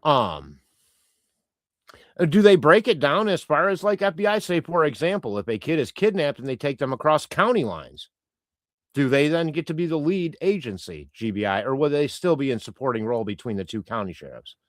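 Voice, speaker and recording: A man's voice: fairly high pitch, sing-song, normal speed, fairly bright tone, dry recording, studio quality. A man whose native language is Croatian, hosting a casual livestream and reading out chat in a rambling, chatty way with many fillers and restarts. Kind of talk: casual live-stream chat.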